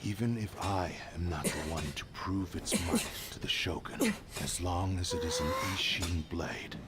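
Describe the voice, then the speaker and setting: An actor playing a dying ancient sword spirit, speaking in a grave, measured, whispery way. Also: male voice, low-pitched, sing-song, very noisy. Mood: solemn. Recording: game audio under loud music.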